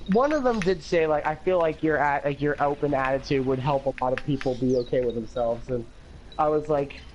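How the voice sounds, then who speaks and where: A man's voice: brisk, highs cut off, a little hiss or hum. Rambling teen guy with a slight lisp. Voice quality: higher-pitched voice with an occasional lisp. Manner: talkative, rambling with many "like"s, swearing, animated laughs. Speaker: livestream host. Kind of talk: casual chat on a game livestream.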